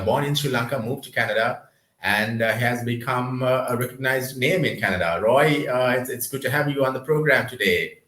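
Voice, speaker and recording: Halting male host, roomy sound. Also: mid-range voice, a bit bright. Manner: halting, with many filler sounds. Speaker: podcast host. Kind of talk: interview. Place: Adelaide. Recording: roomy echo.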